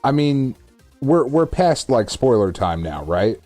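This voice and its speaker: A man's voice: medium pitch, very animated, measured pace, mellow, no room sound, soft background music. A man co-hosting a podcast, chatting casually in an emphatic, exclamatory way with loose, sweary language. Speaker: podcast co-host. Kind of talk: casual chat about films.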